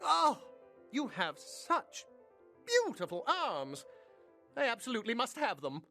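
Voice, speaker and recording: An actress playing an odd, polite receptionist with an obsessive streak in a video game, speaking in a halting, airy way. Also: female voice, a little high, huge pitch swings, bright, forceful tone, faint music behind, full character voice. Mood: covetous.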